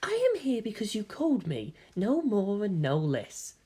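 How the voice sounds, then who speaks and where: A hushed, cryptic young male hybrid, put on by a woman reading a story aloud. He speaks softly and evenly, with a faint mocking edge that never rises above a murmur. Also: medium pitch, wildly swooping pitch, breathy edge, pitched above the performer's own voice, full character voice. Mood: cryptic calm. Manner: soft, measured, quietly mocking.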